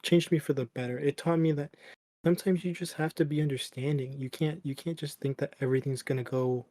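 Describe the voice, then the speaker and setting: A young man co-hosting a casual podcast, talking in a flat, deadpan monotone. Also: fairly high pitch, brisk, no echo. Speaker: podcast co-host. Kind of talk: casual chat.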